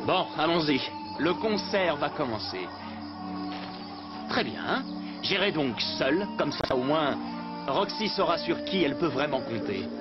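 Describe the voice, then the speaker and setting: A man's voice: a little high, very animated, measured pace, long pauses. A man voicing a fearless, mocking swordsman-poet in a French cartoon, with a theatrical, declamatory delivery. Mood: determined. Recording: dull sound, loud music, crowd.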